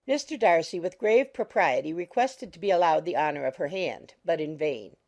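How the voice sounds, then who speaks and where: A woman reading scripted literary narration for an audiobook in a measured, formal manner. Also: on the low side, animated, a little mellow.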